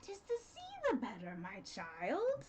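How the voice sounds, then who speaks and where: A woman's voice: fairly high pitch, huge pitch swings, measured pace, darkish tone, breathy edge, heavily acted. A woman voicing a sly, hungry male wolf in a fairy tale, coaxing and disguising his voice. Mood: sly, menacing.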